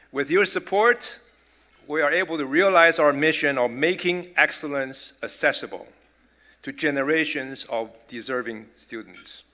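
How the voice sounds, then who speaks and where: Bright-voiced Taiwanese-accented man giving a speech. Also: a little high. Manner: measured, earnest delivery with small restarts. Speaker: college president giving a speech. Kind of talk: formal prepared speech at a dinner.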